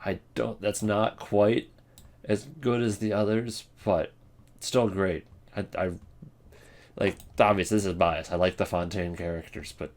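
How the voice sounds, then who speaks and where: A man's voice: fairly low pitch, steady tone, measured pace, a little mellow. A man giving casual, off-the-cuff ranking commentary, rambling and jokey in a self-deprecating way. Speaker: video creator ranking game voice lines.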